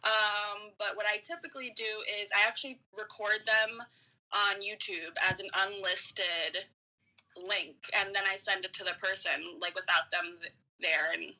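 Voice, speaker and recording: A woman from New York City talking casually as a podcast guest, frank and informal, explaining things in a halting way. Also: mid-range voice, steady tone, bright, forceful tone, low-quality recording. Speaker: podcast guest, comedian and tarot reader. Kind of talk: casual conversation.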